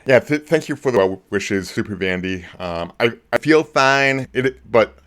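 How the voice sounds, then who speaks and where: A man in his forties hosting a casual live stream about movies, talking in a rambling way with lots of ums and restarts, his voice a bit lower than usual. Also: lively intonation, measured pace, fairly bright tone. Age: 40s. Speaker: movie channel host.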